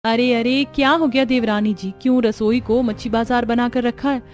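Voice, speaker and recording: A woman voicing a brisk, work-shy cartoon sister-in-law with a dismissive manner. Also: fairly high pitch, fairly even intonation, soft background music, natural voice.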